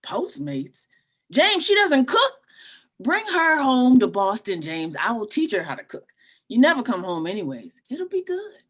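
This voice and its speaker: A woman's voice: a little high, sing-song, a little slow, fairly bright tone, husky, full character voice. A woman playing a bossy, doting aunt in a comedy table read, scolding and insistent. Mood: nagging, indignant. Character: bossy, nagging Caribbean aunt, doting on her nephew.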